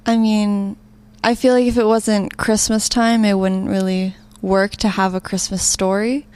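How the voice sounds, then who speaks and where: A woman's voice: mid-range voice, little pitch movement, measured pace, darkish tone, clean tone. Young female student interviewee, mid-range voice, informal conversational answers. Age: young adult. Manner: informal, frequent "like" and "kind of". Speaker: student interviewee, live sound operator.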